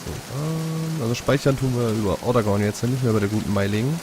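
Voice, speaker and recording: A man's voice: mid-range voice, quick pace. A man doing casual live commentary as he streams a video game. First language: German. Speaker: let's play streamer. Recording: noisy stream over game rain. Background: game rain and ambience.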